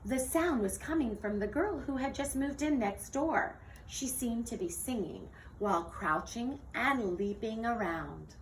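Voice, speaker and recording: A woman teacher reading a children's picture book aloud in an expressive, theatrical storytelling manner. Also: a little high, a little slow, few pauses. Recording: distant mic with faint noise.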